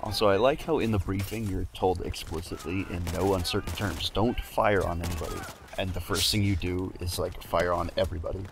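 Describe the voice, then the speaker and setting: A man with a low-pitched voice co-commentates on a video game. His delivery is casual and conversational, with a wry edge to his remarks. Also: fairly even intonation, fairly quick, hardly any pauses. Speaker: let's play co-commentator. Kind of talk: casual gameplay chat.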